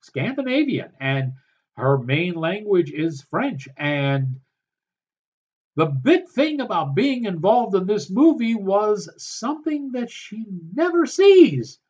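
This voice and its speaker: A man reviewing a film in a sardonic, halting way, with many fillers. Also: high-pitched, big pitch swings, darkish tone, dull audio. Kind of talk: casual film review commentary.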